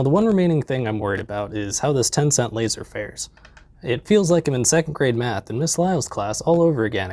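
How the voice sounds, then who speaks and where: A young man in his twenties with a lapel mic in a basement, narrating a comedic DIY tutorial in a deadpan, jokey way with mumbled asides. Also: mid-range voice. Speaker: DIY maker vlogger. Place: basement workshop, indoors.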